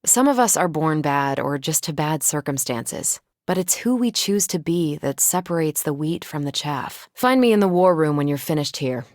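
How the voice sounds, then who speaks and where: A woman's voice: low voice, animated, normal speed, hardly any pauses, a little mellow, no echo, clean studio sound. An American woman voicing a confident, wry young superhero leader in a video game, with breezy, sardonic delivery. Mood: matter-of-fact.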